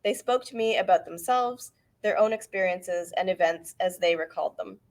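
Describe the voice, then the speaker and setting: Woman, middle-aged, from Canada, author reading her own book, measured, earnest. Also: fairly low pitch, a little mellow. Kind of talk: reading aloud from a book introduction. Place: bookfest event hall.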